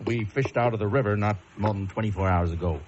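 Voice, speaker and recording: An actor playing a plain-spoken, courteous police officer with a folksy, hesitant manner in a suspense radio drama. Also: male voice, lowish voice, fast talker, a little mellow, slight background noise, close to an everyday voice. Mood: solemn, apologetic. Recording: muffled radio recording, music behind.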